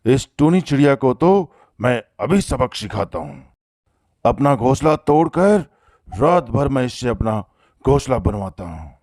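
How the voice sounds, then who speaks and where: A man's voice: mid-range voice, big pitch swings, a little slow, darkish tone, dry recording, clean studio sound. A man playing a bossy male hawk in a cartoon story, with a theatrical, demanding manner. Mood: scheming. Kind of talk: Hindi cartoon story voice acting.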